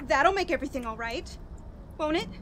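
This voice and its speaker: An actress playing a desperate, guilt-ridden woman in a horror radio play, halting and repeating herself as she pleads through tears. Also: high voice, lively intonation, fairly bright tone, slight background noise. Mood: tearful pleading. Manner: halting, repetitive, sobbing, pleading. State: crying, panicked.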